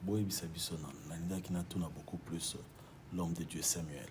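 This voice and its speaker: Deep-voiced talk show host. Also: male voice, fairly even intonation, moderate pace, a little mellow, slight background noise. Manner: conversational, switches between French and Lingala.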